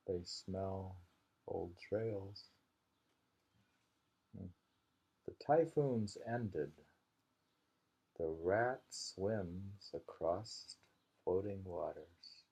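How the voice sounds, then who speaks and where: Deep, gravelly, slow-paced man musing over poetry. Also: dark tone, dull audio. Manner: musing, repeats each poem. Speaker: reader and host of a poetry reading. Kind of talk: reading haiku aloud with casual commentary.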